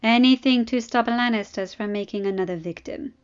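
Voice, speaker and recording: A woman voicing a courteous, smirking prince in audiobook dialogue, speaking in a courtly, deliberate way. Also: on the high side, clear, resonant tone, dull audio, close to an everyday voice. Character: smooth, smirking, courteous Dornish prince, male.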